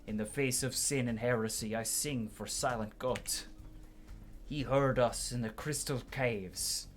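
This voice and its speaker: Man putting on a loud, grandiose religious zealot. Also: mid-range voice, fairly even intonation, unhurried, faint music behind, lightly acted. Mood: solemn, prayerful. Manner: declamatory, preacher-like. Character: fervent male religious zealot, pious and grandiose. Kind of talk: video game dialogue read aloud by a player.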